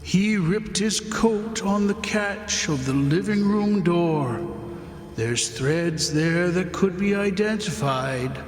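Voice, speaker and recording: An actor playing a dead man's solemn, forgiving ghost in an old-time radio play, with a drawn-out, sing-song delivery. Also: high voice. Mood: calm, cryptic. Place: on stage in a hall. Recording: echoey stage hall with music behind.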